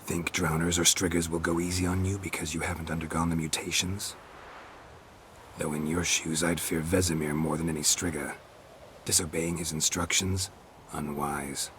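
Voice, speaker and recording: An actor playing a calm, gruff male witcher swordsman who speaks in dry, clipped commands. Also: low-pitched, moderate pace, a little hiss or hum. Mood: instructing.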